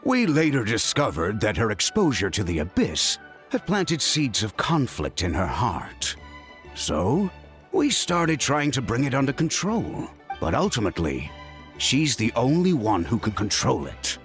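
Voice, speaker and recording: An actor playing a stern but caring middle-aged father, world-weary and emphatic, with a gravelly voice, in a video game. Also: medium pitch, wildly swooping pitch, measured pace, fairly bright tone. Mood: grave, explaining. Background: background music.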